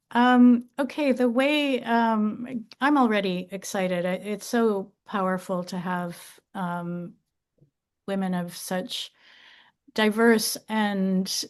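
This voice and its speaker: A woman's voice: mid-range voice, steady tone, takes it slowly, clear, resonant tone. A woman moderating a panel over a video call, thanking speakers and passing the floor in an upbeat way.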